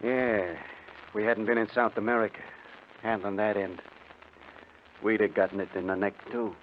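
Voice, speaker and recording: A man's voice: on the low side, little pitch movement, moderate pace, close to an everyday voice. An actor playing a bitter, irritable male racketeer, blunt and grumbling, in a 1950s radio drama. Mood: bitter, resigned. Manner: blunt, grumbling, tough-guy. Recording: noisy muffled old radio recording.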